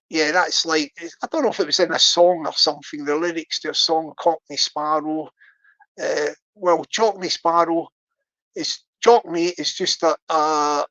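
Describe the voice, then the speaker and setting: A man's voice: sits high, sharp tone, highs cut off. An older man with a Scottish accent, hosting his own channel and chatting casually to viewers on a livestream, rambling and repeating words as he restarts his sentences. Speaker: YouTube channel host.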